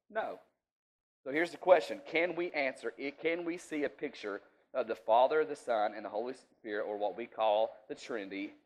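A man's voice: mid-range voice, low-quality recording. A man preaching an informal sermon in a folksy, conversational way, checking in with his listeners often. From rural US.

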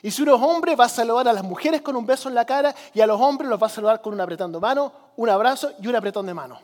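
A man's voice: very high-pitched, animated, very fast, a little mellow. A man from Chile with a Chilean accent, giving a sermon as a preacher in a conversational manner, repeating phrases for emphasis. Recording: long hall reverb.

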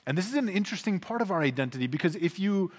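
A man preaching with earnest emphasis, his voice sitting a little high for a man. His phrases rise with force and then drop low at their ends. A long church reverb trails every line. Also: animated, very fast. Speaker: pastor. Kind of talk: sermon. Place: church sanctuary.

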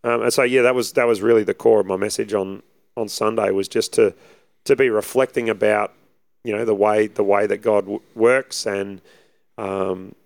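A man, husky from preaching, chatting casually and rambling as an assistant pastor co-hosting a podcast. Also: on the low side, fairly even intonation, normal speed, a little mellow, no echo. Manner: easygoing, rambling with many fillers. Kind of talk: casual chat.

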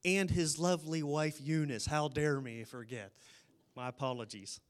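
A man's voice: fairly high pitch, steady tone, normal speed, slight background noise. A man at a church introducing the guest speakers, fumbling and apologetic. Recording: distant mic in a church.

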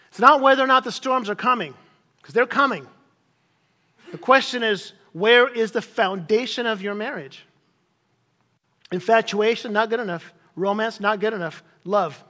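A man's voice: high-pitched, a bit bright. A middle-aged man from Chile preaching a sermon in a conversational, emphatic way, repeating phrases to drive them home. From grew up in Chile as a missionary kid. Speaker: pastor. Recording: reverberant hall.

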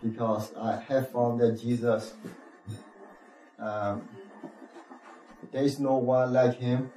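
A man from Burma with a Burmese accent, telling his church testimony slowly in simple non-native English. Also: medium pitch, little pitch movement, mellow, roomy sound, far from the mic, a little hiss or hum, poor audio. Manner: slow, simple phrasing with short laughs. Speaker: refugee giving testimony.

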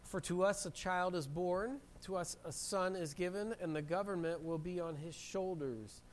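Man, pastor, sermon, hoarse from a cold, throat-clearing, slightly high voice, faint rumble. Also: moderate pace. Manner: clears throat often, steady preaching. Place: church sanctuary. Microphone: handheld mic.

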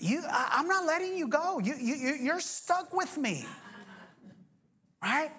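A man in his forties preaching a sermon as pastor, energetic, joking and firing off rhetorical questions. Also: very high-pitched, a little slow, a little hiss or hum. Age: 40s.

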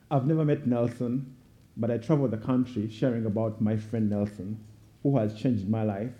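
A man in his thirties with a Ugandan accent, telling a prepared personal story to a congregation in a deliberate, oratorical way. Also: mid-range voice, dark tone. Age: 30s. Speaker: guest speaker at a church. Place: speaking before a live congregation. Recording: dull, muffled sound.

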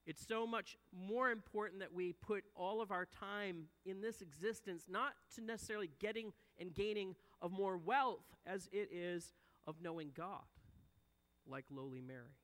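Earnest preacher guy, high bright voice, gets loud then drops real quiet, long echo in a church. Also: normal speed. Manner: earnest, swells and drops in volume for emphasis. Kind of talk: sermon. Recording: long hall reverb.

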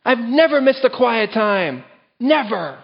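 A man's voice: very high-pitched, sing-song, a little slow, gravelly, lo-fi sound, pitched above the performer's own voice, heavily acted. A man voicing a boastful, self-righteous male churchgoer, bragging with smug emphasis. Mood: smug boasting.

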